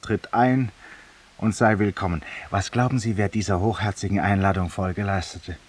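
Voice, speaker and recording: An actor playing an urbane, talkative, self-mocking judge-penitent and tavern regular, with polished, ironic rhetoric in a 1950s German radio drama. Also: male voice, lowish voice, husky. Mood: bitterly ironic. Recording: distant-sounding 1950s radio recording.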